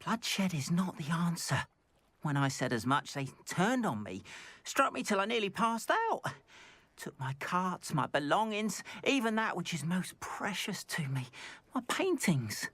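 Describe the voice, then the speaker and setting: Expressive, gentle goblin painter. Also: male voice, sits high, breathy edge, dry recording, exaggerated voice. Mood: aggrieved, hurt. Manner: measured, expressive, formal old-fashioned phrasing. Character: gentle, wronged goblin painter, earnest and peace-loving. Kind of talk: video game character acting.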